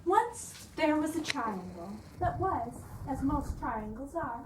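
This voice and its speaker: A woman's voice: sits high, sing-song, slow. A woman narrating a children's storybook aloud as a dramatic reading. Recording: echoey room, distant mic, noisy.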